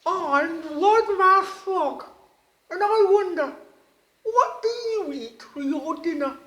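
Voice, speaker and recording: A bubbly, courteous little frog, put on by a woman telling a story, squeaking way up high with lots of swoops in the voice. The storybook voice sounds out in an echoey room. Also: expressive, takes it slowly, fairly bright tone, exaggerated voice. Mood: chirpy polite. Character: polite, chirpy little male wide-mouthed frog. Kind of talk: storybook read-aloud.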